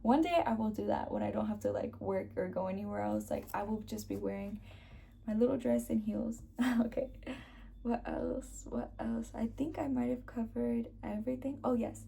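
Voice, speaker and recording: A woman's voice: a little high, normal speed, creaky, dark tone, slightly rough. A young woman with a sore throat, chatting casually to camera as a beauty vlogger and sprinkling her talk with "like".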